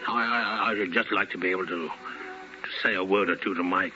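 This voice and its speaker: An actor in a 1950s radio drama playing an elderly, repentant miser with a halting, pleading manner. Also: male voice, deep voice, close to an everyday voice. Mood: wistful, regretful. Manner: halting, pleading in dialogue, theatrical in narration. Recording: dull old radio recording with music.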